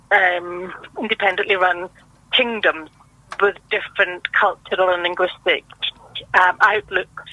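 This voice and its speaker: A Scottish woman, a guest on a radio interview, explaining in a measured, patient way. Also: mid-range voice, pushed and bright, no room sound, rough recording. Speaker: guest from a language board.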